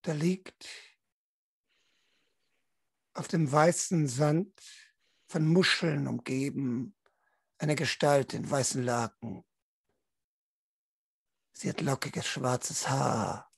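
A young man narrating a tabletop role-playing game as storyteller, with a theatrical, drawn-out, intense delivery into a close microphone. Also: mid-range voice, vocal fry, breathy edge, no room sound. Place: small room at home, online video call.

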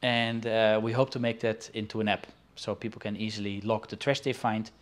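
A man in his forties from the Netherlands, talking in a casual interview from a shelf-lined room over a video call, pausing often with fillers and restarts. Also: lowish voice, flat delivery. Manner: halting, with frequent fillers and restarts. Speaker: guest artist and angler. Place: indoor studio room with shelves.

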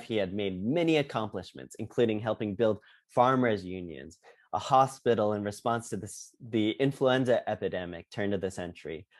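A man's voice: medium pitch, a little mellow. A man in his thirties delivering a prepared announcement on a video call as an organization's board member. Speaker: historical society board member. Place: indoors, video call with virtual background. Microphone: wired earphone mic on a video call.

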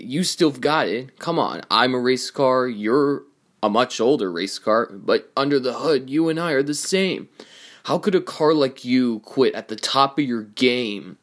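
A man voicing a cocky, excitable young talking race car in an amateur cartoon audition, with theatrical, emphatic delivery. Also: mid-range voice. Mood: earnest, admiring.

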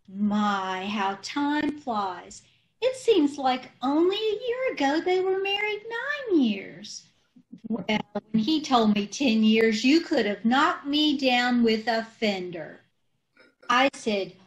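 A woman's voice: sits high, full character voice, low-quality recording. A woman playing a scatterbrained, breezy middle-aged wife with earnest, muddled logic in an old-time radio comedy. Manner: earnest malapropisms, unhurried.

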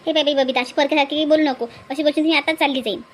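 A woman voicing a brisk, caring village woman in a cartoon, chatty and repetitive. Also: high-pitched, steady tone, lightly acted. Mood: soothing, chiding.

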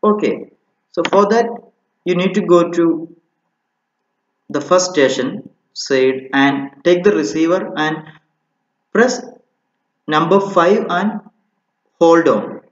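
A man with a Malayali Indian accent explaining a technical tutorial informally, step by step and haltingly, often saying okay, recorded indoors with a dull, roomy sound. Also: on the high side. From Kerala, India. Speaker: electronics installation instructor.

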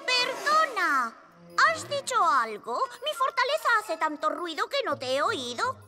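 A woman's voice: extremely high pitch, sing-song, measured pace, full character voice. A woman voicing a cocky, mocking boy ninja villain in a cartoon dub, taunting and bragging, with a Castilian Spanish accent. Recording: music behind the voice.

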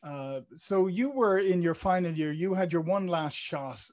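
A middle-aged man with an Irish accent hosts a video-call interview, slightly higher in pitch than most men. He talks in a relaxed, chatty way and often pauses on "um" and "uh" before restarting. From Ireland. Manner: chatty, with many fillers and restarts. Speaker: interview host. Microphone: studio mic on a video call.

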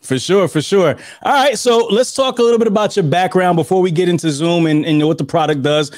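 A man's voice: high voice, brisk, a little mellow, no room sound, clean studio sound. A man in his thirties hosting an interview over a video call, upbeat and prone to repeating himself. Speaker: podcast host. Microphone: webcam on a video call.

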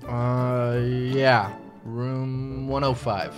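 A woman's voice: rumbling low, little pitch movement, extremely slow, over music, heavily acted. A woman reading the part of a rude young male stoner motel clerk at a studio microphone in a table read.

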